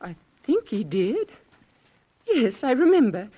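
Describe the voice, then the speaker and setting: A breathy, anxious widow. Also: female voice, a little high, big pitch swings, slow, warm tone, heavily acted. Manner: hesitant, anxious. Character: worried widowed woman. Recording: dull old radio recording.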